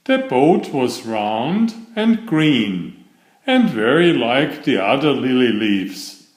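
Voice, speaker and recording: A man narrates a children's story at a slow, deliberate pace, his pitch rising and falling with plenty of animation. The voice sits in a medium male register, with audible room echo around it. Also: very animated. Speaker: storyteller reading children's picture books. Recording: roomy echo on the voice.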